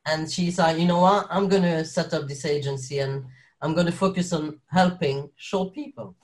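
A young man from Belgium speaks English with a soft French touch. He talks in a loose, rambling way, restarting sentences and laughing often as he tells his story. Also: fairly high pitch, normal speed. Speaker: guest actor. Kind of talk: casual interview chat.

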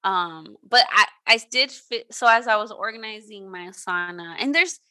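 A woman's voice: mid-range voice, moderate pace, pushed and bright. A woman co-hosting a casual chat, rambling with lots of fillers and restarts. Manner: rambling, filler-heavy with many "like"s. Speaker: podcast co-host.

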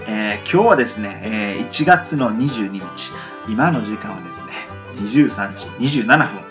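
Animated man hosting late-night radio. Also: normal speed, music in the background. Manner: lots of filler words, lively rising pitch when excited. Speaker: radio show host.

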